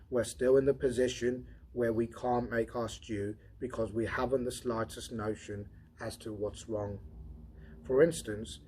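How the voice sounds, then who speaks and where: A man's voice: medium pitch, dark tone, slight background noise, lightly acted. A man reading an audiobook as a brusque, skeptical robot technician, speaking in a dry, methodical, impatient way. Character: brusque, logical, skeptical male senior robot field technician. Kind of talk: audiobook character dialogue.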